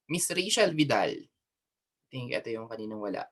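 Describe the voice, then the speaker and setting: Chatty Filipino teacher on a video call. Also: male voice, medium pitch, big pitch swings, normal speed, fairly bright tone, slight background noise. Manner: chatty, switches between Filipino and English, many fillers. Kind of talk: online class, casual instructions.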